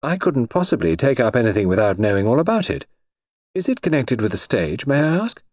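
A man voicing a bold young woman who speaks bluntly and calmly. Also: mid-range voice, brisk, no room sound, studio quality, pitched above the performer's own voice. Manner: blunt, calm, direct.

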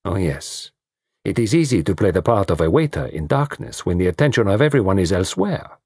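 A man voicing a fussy, commanding detective, pompous and precise, in a slightly husky voice. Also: low voice, very animated, brisk, darkish tone, no echo, studio quality, exaggerated voice. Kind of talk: audiobook reading.

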